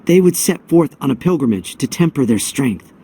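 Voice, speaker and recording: Earnest young hero, clear and bright. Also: male voice, medium pitch, lively intonation, normal speed. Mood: solemn. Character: earnest, wistful young male hero, resolved outcast. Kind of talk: dramatic video game cutscene acting.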